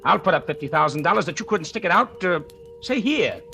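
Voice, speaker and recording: An actor playing a smug, needling, wealthy sugar planter in 1940s radio drama, with a sardonic, businesslike manner. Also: male voice, sits high, expressive, gravelly, pushed and bright, full character voice. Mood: challenging. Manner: sardonic, taunting, brisk businesslike. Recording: old radio recording with music.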